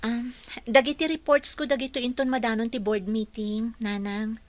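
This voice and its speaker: A woman's voice: fairly high pitch, fairly even intonation, normal speed, no room sound, close to an everyday voice. A woman with an Ilocano accent playing a determined, earnest young employee in a radio drama.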